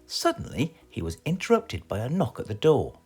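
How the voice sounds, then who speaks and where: A man's voice: mid-range voice, wildly swooping pitch, moderate pace, slightly rough, faint music behind. A man with a British accent reading a children's story aloud as a storyteller.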